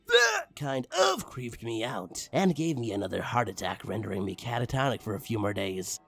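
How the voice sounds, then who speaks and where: A man's voice: mid-range voice, wildly swooping pitch, moderate pace, creaky, fairly bright tone, breathy edge, no echo, faint music behind. A man giving a comedic, mock-dramatic creepypasta narration with crude, swooping emphasis. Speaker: narrator, online story reader.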